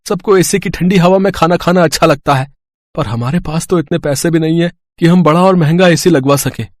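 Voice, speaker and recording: A man's voice: fairly high pitch, a little fast, no echo, studio quality. A man voicing a poor, worried roadside eatery owner in a storybook drama. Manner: worried, weary. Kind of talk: storybook voice acting.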